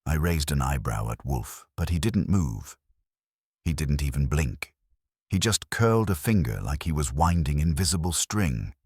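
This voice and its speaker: A very deep-voiced man narrates a scripted detective story in the first person. His pitch moves in a lively way over a dry, close recording. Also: normal speed, breathy edge, no echo. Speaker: host and narrator.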